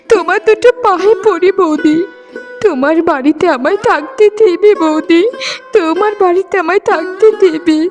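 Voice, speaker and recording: A woman voicing a wronged, remorseful young bride, pleading and wailing in a melodramatic cartoon. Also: extremely high pitch. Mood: remorseful, begging.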